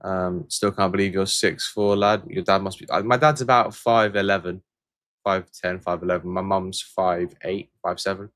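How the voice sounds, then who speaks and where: Low-voiced Englishman rambling on a livestream. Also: steady tone, normal speed, a bit bright, no room sound, clean studio sound. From England. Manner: rambling and hesitant, with frequent fillers and restarts. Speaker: channel host answering viewer questions. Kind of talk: casual livestream chat, reading out viewer comments.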